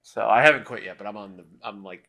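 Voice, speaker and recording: A thirty-year-old man with a lowish voice chats casually on a podcast. He sounds laid-back and wry, and he laughs often between his remarks. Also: fast, pushed and bright. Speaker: podcast co-host.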